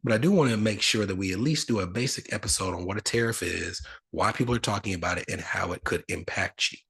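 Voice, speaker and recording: A man's voice: sits low, quick pace, gravelly, fairly bright tone, breathy edge. A man hosting a finance podcast, explaining things in a casual, conversational way with asides. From United States. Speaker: podcast host.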